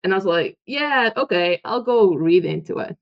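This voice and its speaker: A woman in her thirties from Portugal, speaking with a Portuguese accent as a guest researcher in a casual interview, animated and quick to act out quoted dialogue with laughs. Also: on the low side, creaky. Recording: muffled lo-fi audio.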